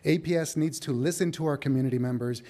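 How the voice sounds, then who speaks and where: Middle aged teacher guy, warm mellow voice, measured w/ some restarts, podium mic. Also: on the high side. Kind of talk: public comment. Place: large council chamber, at a podium. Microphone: podium microphone.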